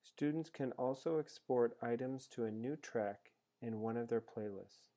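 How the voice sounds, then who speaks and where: A man reading a scripted software tutorial in a measured, explanatory manner as a voice-over. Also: mid-range voice. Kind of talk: scripted instructional narration. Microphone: screencast voice-over.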